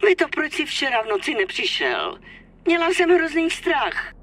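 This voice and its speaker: Worried italian mama, middle-aged, high voice, very up and down, crime game. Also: female voice, huge pitch swings, a bit bright, exaggerated voice. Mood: worried, tender. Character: anxious, doting Italian immigrant mother of a grown son. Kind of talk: dubbed crime game dialogue.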